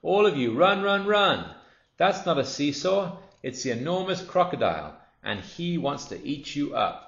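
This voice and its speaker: Frantic little monkey yelling warnings, a guy putting it on, high and loud, echoey dull audio. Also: very animated, normal speed, vocal fry, heavily acted. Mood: alarmed. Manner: shouting urgent warnings. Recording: roomy, dull lo-fi recording.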